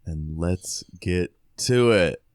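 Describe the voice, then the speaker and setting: A man's voice: low-pitched, takes it slowly, a little mellow, no echo, studio quality. A man co-hosting a podcast, talking casually about a book in a laid-back way. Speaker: podcast co-host.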